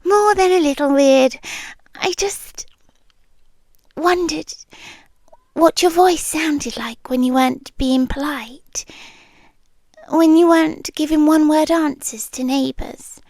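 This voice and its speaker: A British woman playing a shy, obsessive yandere girlfriend in an intimate ASMR roleplay, soft, breathy and hesitant, often slipping into a whisper. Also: sits high, no echo. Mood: nervous, smitten. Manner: slow, hesitant, confiding. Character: shy, obsessive young yandere girlfriend, sweetly intense and nervous.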